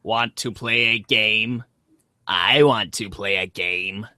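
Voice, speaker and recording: A man voicing a menacing puppet villain with slow, drawn-out, creepy delivery in a comic read-aloud. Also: on the low side, pushed and bright, dry recording, clean studio sound, voice pushed down, heavily acted.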